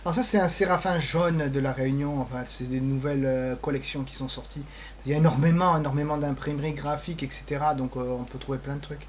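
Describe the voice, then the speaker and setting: A man's voice: on the high side, fairly even intonation, fast, a little hiss or hum. A man co-presenting an improvised screen-share tour, talking offhand and flippantly as he thinks aloud. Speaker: co-presenter giving a guided tour of social media pages.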